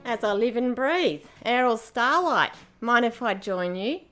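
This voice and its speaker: Woman as space pirate queen, brash, casual, foul-mouthed, fairly high voice, amateur radio play. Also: expressive, unhurried, fairly bright tone, faint music behind. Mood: breezy, boastful. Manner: brassy, casual, swears freely. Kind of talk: amateur audio drama acting.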